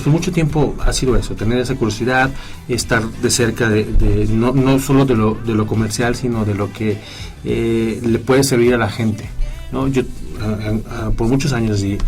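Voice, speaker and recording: Male guest, Mexican accent, easygoing tech talker with a low voice. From southeast Mexico. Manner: relaxed, joking, many fillers and restarts. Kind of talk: radio interview.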